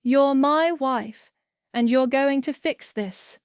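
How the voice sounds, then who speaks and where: A woman's voice: high-pitched, slow, no echo, studio quality, voice pushed up. A woman reading as an angry, blaming husband. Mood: demanding. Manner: angry, pressing, raised voice. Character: furious middle-aged husband, pleading and blaming.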